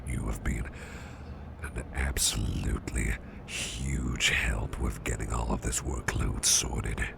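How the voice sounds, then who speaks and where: A man playing a protective, gentle boss in a soft ASMR roleplay, fussing reassuringly in a half-whisper with hesitant, repeated phrases. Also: fairly even intonation, takes it slowly, heavily acted. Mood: soothing, reassuring. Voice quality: very deep gravelly half-whisper. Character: protective, gentle, fussing male boss comforting exhausted employee. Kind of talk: ASMR roleplay, close personal attention. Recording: close recording with loud background noise.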